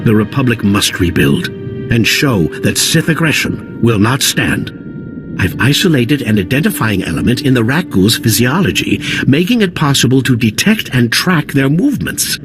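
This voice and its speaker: An actor playing an earnest, formal scientist in a video game, speaking articulately and with theatrical emphasis. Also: male voice, medium pitch, sing-song, normal speed, lightly acted. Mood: earnest, resolute. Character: earnest, formal male scientist, grateful and dryly optimistic. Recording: loud music under the dialogue.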